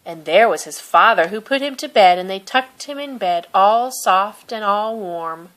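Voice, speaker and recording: A woman reads a picture book aloud for children. Her pace is slow and her melody is lively, with gentle, expressive storytelling and drawn-out words. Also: mid-range voice, rough recording. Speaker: storybook reader.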